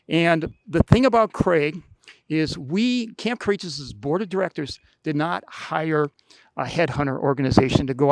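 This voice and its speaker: An older American man with a slightly high voice speaks informally to a gathering. His delivery is plainspoken and folksy, with halting phrases. The sound is very dry, with no room echo. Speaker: camp leader announcing a donor-funded project.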